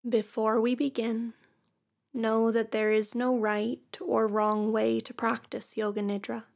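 A woman teaching yoga nidra, guiding a relaxation practice in a soft, soothing way with long pauses. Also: on the high side, little pitch movement, gravelly. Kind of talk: guided meditation.

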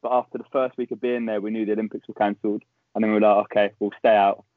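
A young man with a Southern English accent, a podcast guest chatting quickly with dry humour. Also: fairly low pitch, level tone, dry recording. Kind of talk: casual interview chat. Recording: tinny phone-like call line, dry.

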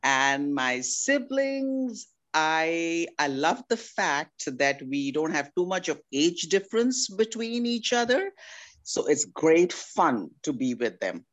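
Podcast guest: affectionate woman in her sixties from India, talks at an unhurried pace. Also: deep voice, big pitch swings. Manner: affectionate, calls people darling. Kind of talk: casual family interview.

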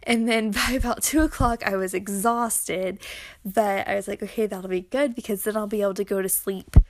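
A young woman records a casual personal audio log. Her voice sits at a medium pitch, and she talks informally in loose, rambling phrases full of filler "likes." The sound is close and dry, with very little room echo. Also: steady tone, moderate pace. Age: young adult. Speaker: podcaster, schoolteacher.

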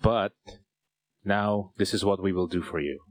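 A Filipino-accented man hosts a relaxed podcast. His voice sits very low, and he talks in a loose, rambling way full of fillers and restarts. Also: a little slow. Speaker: podcast host. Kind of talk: casual chat.

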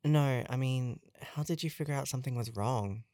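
A woman's voice: rumbling low, moderate pace, mellow, no echo, clean studio sound. A woman playing a concerned, thoughtful friend in an audio drama, earnest and questioning in manner. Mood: curious.

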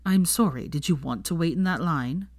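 A woman's voice: deep voice, normal speed, a little mellow, no room sound. A woman voicing a smug, mocking young male wizard in a story reading, dry and sarcastic.

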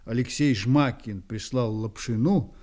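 An actor playing a reflective storyteller who narrates a radio play in a musing, conversational way. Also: male voice, medium pitch, unhurried, dark tone, close to an everyday voice. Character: reflective male journalist narrating his friend's story.

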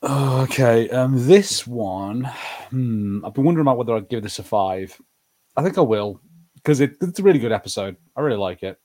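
A man's voice: medium pitch, lively intonation, moderate pace, warm tone. A man co-hosting a podcast, chatting casually as he reviews TV shows. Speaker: podcast co-host.

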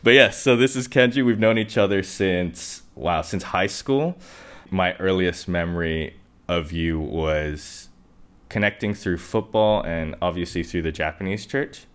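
A man's voice: sits low, unhurried, fairly bright tone, clean tone. A young man from Hong Kong hosting a casual podcast interview, chatty and upbeat, laughing often. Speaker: podcast host.

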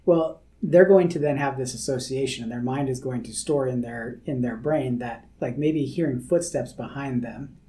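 A man co-hosting a podcast as a therapist, explaining ideas in a measured way through a worked example. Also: medium pitch, dark tone. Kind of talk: explanatory conversational chat.

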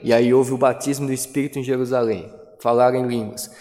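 A man preaching a sermon as pastor, with dramatic pauses and emphatic delivery. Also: mid-range voice, level tone, mellow. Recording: long hall reverb.

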